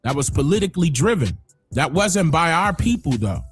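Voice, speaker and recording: A man's voice: very animated, normal speed, slightly rough, dry recording, faint music behind. Emphatic man hosting a livestream. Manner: emphatic, swings from loud exclaims to low muttering. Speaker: livestream host. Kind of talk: opinionated livestream commentary.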